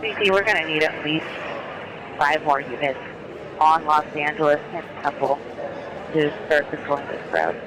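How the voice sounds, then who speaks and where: A man's voice: on the high side, expressive, normal speed, long pauses, crowd noise. A male police officer directing units over a police radio in dispatch talk. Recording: noisy police scanner, outdoor traffic noise.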